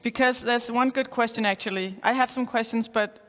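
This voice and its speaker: A woman's voice: a little high, fairly even intonation, brisk, fairly bright tone. A woman moderating a panel discussion on a concert hall stage, hesitant and full of fillers. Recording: long concert hall reverb.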